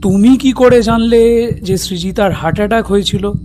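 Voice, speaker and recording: An actor playing an older retired detective in an audio drama, polite and inquisitive. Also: male voice, high-pitched, normal speed, darkish tone, soft background music, lightly acted. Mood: probing. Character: courteous, probing older retired detective, a father.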